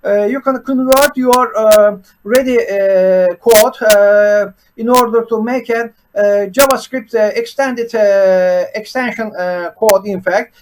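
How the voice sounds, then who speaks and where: A man with a Turkish accent and a fairly high voice, hosting his own programming channel. He talks haltingly, filling almost every pause with "uh" while he shares his screen. A faint hiss sits under the recording. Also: fairly even intonation, low-quality recording. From Turkey. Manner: halting, with constant "uh" fillers between words. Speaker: programming teacher and channel host. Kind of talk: unscripted screen-share presentation.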